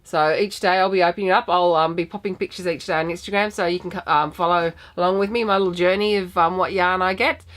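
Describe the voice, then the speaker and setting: An Australian woman hosting a knitting podcast, a bit croaky from a sinus infection, chatting in a rambling, friendly way with many ums. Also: fairly low pitch, steady tone, fast talker, hardly any pauses, a bit bright. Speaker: podcast host. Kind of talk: casual knitting podcast chat.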